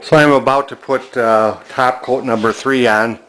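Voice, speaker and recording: An older man casually narrating a hands-on project in a halting, plainspoken, matter-of-fact way. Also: medium pitch, steady tone, a bit bright, rough recording. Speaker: antique restoration hobbyist demonstrating a refinishing project.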